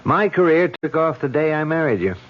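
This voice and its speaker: Radio-play detective: a breezy, cocky cop, dull old recording. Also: male voice, fairly high pitch, big pitch swings, a little fast. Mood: fond. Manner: jokey, self-assured. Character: cocky male police detective. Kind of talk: 1970s radio drama acting.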